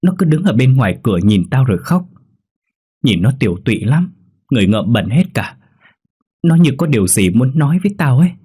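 A man voicing a sick, frightened young female student in a horror story, with urgent, halting, tearful pleading. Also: medium pitch, darkish tone, slightly rough, natural voice.

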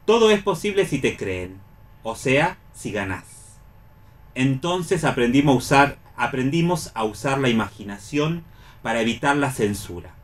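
A man's voice: mid-range voice, sing-song, a little slow. A man with an Argentine accent explaining things expressively in a radio discussion, sometimes reading aloud.

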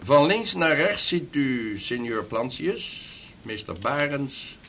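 An actor playing a dignified, ceremonious civic dignitary in a historical radio drama, with formal and courteous speech. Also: male voice, mid-range voice, animated, slow, creaky, a bit bright, slight background noise, full character voice. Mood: ceremonious welcome.

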